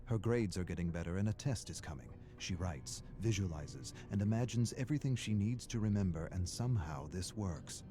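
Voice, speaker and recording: A man performing as a solemn storyteller, narrating a video game's character stories in measured, dramatic phrases. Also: low voice, expressive, background noise.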